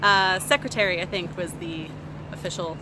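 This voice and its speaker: A woman's voice: lowish voice, normal speed, background noise. A woman giving a casual spoken theatre review, musing tentatively as she thinks aloud.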